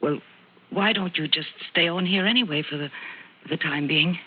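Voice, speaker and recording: Husky, guarded middle-aged woman. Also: on the low side, moderate pace. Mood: gently inviting. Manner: polite, hesitant. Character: genteel, faded married woman, guarded and flustered. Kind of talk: mid-century radio drama acting. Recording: thin, phone-like vintage radio recording.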